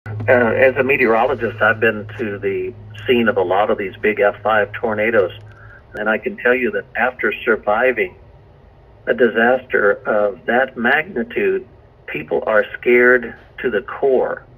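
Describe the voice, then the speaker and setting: A man speaking as a meteorologist in an earnest testimonial, with measured, deliberate phrasing. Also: on the low side, fairly bright tone, breathy edge, rough recording.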